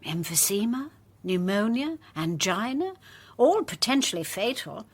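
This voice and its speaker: Female investigator in radio drama: analytical, precise, breathy, with very mobile intonation. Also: mid-range voice, big pitch swings, measured pace, heavily acted. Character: brisk, analytical woman helping investigate a case.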